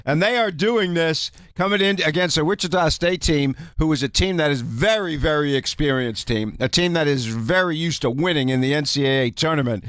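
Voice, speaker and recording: A man's voice: on the high side, a little fast, few pauses, fairly bright tone, no room sound, studio quality. A man giving conversational basketball analysis on live radio, emphatic and often repeating his phrases.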